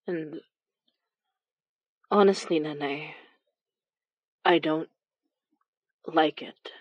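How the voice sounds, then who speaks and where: A woman playing a troubled, reflective paranormal investigator in a horror audio drama, speaking in halting, confiding phrases. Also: low voice, fairly even intonation, no room sound, muffled recording, heavily acted. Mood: uneasy. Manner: halting, confiding, weighted pauses. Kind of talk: first-person horror audio drama monologue.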